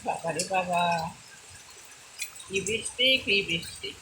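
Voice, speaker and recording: A woman's voice: lowish voice, takes it slowly. A woman chats casually to viewers as a vlogger, musing and repeating her remarks. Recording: loud rain noise over voice. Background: steady rain and falling water.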